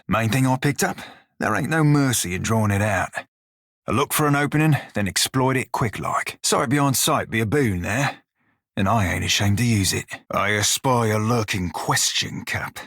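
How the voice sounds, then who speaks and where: An actor playing a gruff, wry pirate shiphunter in a video game, with a rough-edged voice and folksy sailor dialect. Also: male voice, fairly low pitch, normal speed, a bit bright, no room sound, clean studio sound. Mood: grim, determined. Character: salty blue-furred pirate shiphunter, former slave, gruff and wry. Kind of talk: video game character dialogue.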